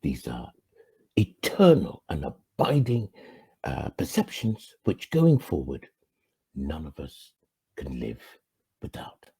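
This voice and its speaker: Middle-aged man from Ghana hosting a channel, earnest reflective monologue, deep fry and a very dark tone. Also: wildly swooping pitch, slow, long pauses. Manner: earnest, swinging from emphatic rises to quiet low endings. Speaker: channel host.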